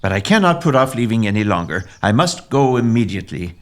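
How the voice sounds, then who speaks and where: A man playing a melancholy widowed Victorian gentleman in a formal, theatrical audio drama style. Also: fairly low pitch, sing-song, a little fast. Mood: brisk, dismissive. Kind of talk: period audio drama acting.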